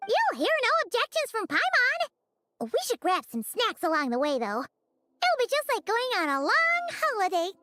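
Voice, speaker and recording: A woman's voice: extremely high pitch, huge pitch swings, normal speed, pushed and bright, no room sound, clean studio sound, exaggerated voice. A woman voicing a small, chirpy, childlike fairy companion in a video game, cheerful and fond of food. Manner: speaks of self in third person, chirpy. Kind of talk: video game character acting.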